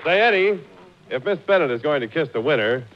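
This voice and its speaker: A man working as a radio announcer, delivering a scripted 1940s advertising pitch. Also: animated, brisk, noisy background. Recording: muffled 1940s radio broadcast.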